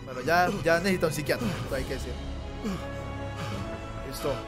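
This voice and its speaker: A man's voice: a little high, moderate pace. Chatty Colombian young man gaming. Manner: chatty, joking, addresses viewers. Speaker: streamer. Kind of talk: casual gameplay commentary. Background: game music.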